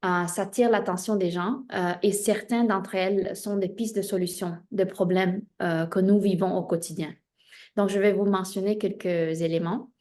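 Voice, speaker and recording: A young woman from Spain whose first language is Spanish, introducing herself at length as an interview guest, speaking in measured phrases with frequent hesitant pauses. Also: mid-range voice, steady tone, warm tone.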